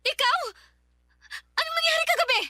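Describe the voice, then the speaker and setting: A woman's voice: extremely high pitch, fairly quick, pushed and bright, a little hiss or hum, heavily acted. An actress playing a distressed, suspicious young woman in a Filipino radio drama, firing off accusing questions. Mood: accusing, shocked. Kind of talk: Tagalog radio drama acting.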